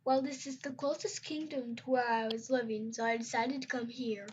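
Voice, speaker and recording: A woman's voice: a little high, fairly even intonation, normal speed, a little hiss or hum, pitched above the performer's own voice, lightly acted. Soar, an earnest young dragon, as a woman puts him on. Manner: polite and a bit awkward. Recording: dull lo-fi recording.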